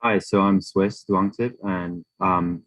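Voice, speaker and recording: Young male student panelist from Hong Kong and Thailand, low voice, informal and halting. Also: fairly even intonation, a bit bright. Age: young adult. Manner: hesitant, filler-heavy with frequent "like". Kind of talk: casual panel answers.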